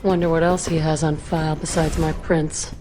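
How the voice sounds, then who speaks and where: An actress playing a cool, sardonic secret agent in a video game. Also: female voice, low-pitched, level tone, moderate pace, background noise, close to an everyday voice. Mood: wry disdain. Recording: game audio with music behind. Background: game music.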